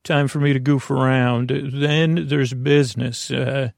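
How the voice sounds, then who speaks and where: A man with a creaky voice hosting a sleep podcast, giving a soothing bedtime monologue that meanders and halts with pauses and repeated phrases. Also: mid-range voice, level tone, no room sound, studio quality. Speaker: podcast host.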